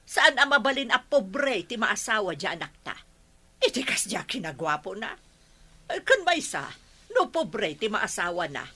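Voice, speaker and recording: Nosy Filipino mother, bright, animated voice. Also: mid-range voice, normal speed, slightly rough, exaggerated voice. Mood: suspicious, calculating. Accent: Filipino, Ilocano. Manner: prying, emphatic. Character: nosy, suspicious village mother, scheming and nagging. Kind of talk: radio drama acting.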